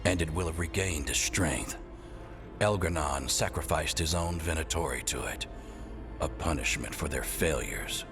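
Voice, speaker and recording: A weary elven resistance leader in a fantasy game, his voice very low and grave. He speaks in measured, solemn phrases with music clearly behind him. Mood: grim resolve. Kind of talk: video game character dialogue.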